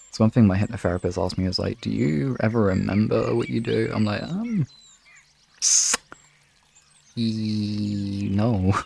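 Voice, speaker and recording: Low, gravelly-voiced man chatting on livestream. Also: warm tone, slight background noise. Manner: rambling, informal, talking to viewers. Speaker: livestream host. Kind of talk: casual livestream chat.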